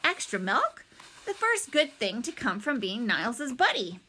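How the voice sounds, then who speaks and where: A woman's voice: a little high, very animated, measured pace, bright, forceful tone, slightly rough, exaggerated voice. A woman voicing a wary, scheming new boy at school in a children's storybook.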